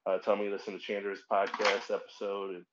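A man's voice: lowish voice, completely flat delivery, quick pace, background noise. A man hosting a podcast with a Midwestern American accent, talking casually and rambling a little with many fillers. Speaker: podcast host. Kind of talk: casual conversation. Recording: dull lo-fi audio.

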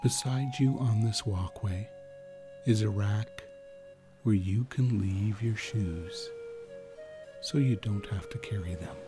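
A man leads a guided sleep meditation with a soothing, very slow delivery. His voice sits on the low side, and he leaves long pauses between phrases. Music plays clearly behind him.

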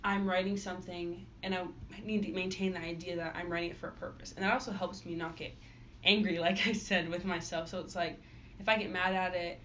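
Young woman, singer-songwriter guest, chatty with many fillers, slightly low voice, muffled lo-fi recording. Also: flat delivery, fairly quick, fairly bright tone. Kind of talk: casual interview answers. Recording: dull lo-fi audio with faint noise.

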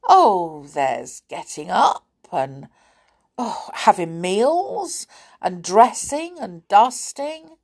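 A woman's voice: medium pitch, sing-song, extremely slow, breathy edge, exaggerated voice. A woman voicing a fussy, muddled little male goblin in a children's storybook, talking in a flustered, dithering way.

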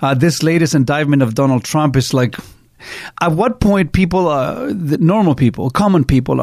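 A man's voice: medium pitch, mellow, dry recording, studio quality. A middle-aged man from Chile hosting a talk radio show, joking and teasing his co-hosts, then interviewing a guest. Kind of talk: casual radio banter and interviewing.

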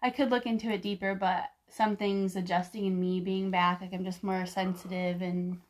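A woman's voice: medium pitch, little pitch movement, moderate pace. A woman in her thirties with a slightly stuffy voice, co-hosting a podcast in loose, unscripted conversation peppered with "like". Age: 30s. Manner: frequent "like" filler. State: slightly congested. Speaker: podcast co-host. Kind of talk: casual unscripted chat.

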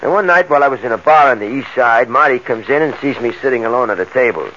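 A man's voice: mid-range voice, expressive, quick pace, pushed and bright. Muffled, hissy old radio sound carries a New ex-convict in his thirties. He is a streetwise, wary man who talks tough and slangy, in 1940s radio crime drama style. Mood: weary storytelling. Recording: muffled old radio recording, background hiss.

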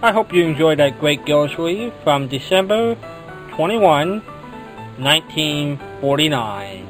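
An American man hosts a radio outro at an unhurried, very slow pace. Plucked guitar music plays clearly behind him. His manner is devout and well-wishing. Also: a little high, very animated, poor audio. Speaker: old-time radio show host.

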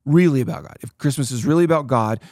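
A man hosting a casual church podcast, talking with animated, preachy emphasis and reading scripture aloud. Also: medium pitch, quick pace, no room sound, clean studio sound. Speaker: pastor and podcast host.

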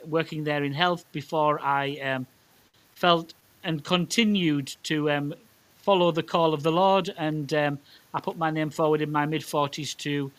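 A man's voice: fairly high pitch, fairly even intonation, measured pace. A middle-aged man with a County Durham accent, a minister giving informal, chatty and somewhat hesitant answers in an interview. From South County Durham, northeast England. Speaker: Methodist minister.

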